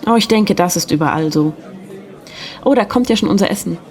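A woman playing a friendly, gently teasing secretary in an audio drama. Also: on the low side, animated, a little fast, noisy background, lightly acted. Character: friendly, gently teasing young female secretary, slightly mysterious. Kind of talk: German audio drama dialogue.

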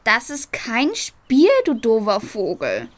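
Radio-play talking cat, female actor, small and imperious, impatient with fools, higher pitched, measured pace. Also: wildly swooping pitch, full character voice. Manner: curt, scornful questioning. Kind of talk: German children's radio play acting.

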